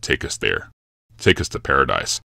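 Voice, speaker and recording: A man's voice: a bass voice, very animated, normal speed, vocal fry, pushed and bright, no echo, studio quality, pitched below the performer's own voice, full character voice. A man voicing a towering, zealous emperor who speaks in solemn pronouncements. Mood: eager. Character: grave, towering male emperor obsessed with struggle.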